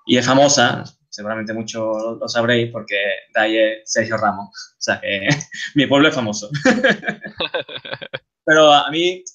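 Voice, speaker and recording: A man in his thirties with an Andalusian Spanish accent, giving a relaxed, chatty talk over slides, with frequent restarts and fillers. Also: medium pitch, highs cut off. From Seville, Spain. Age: 30s. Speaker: guest speaker, game artist.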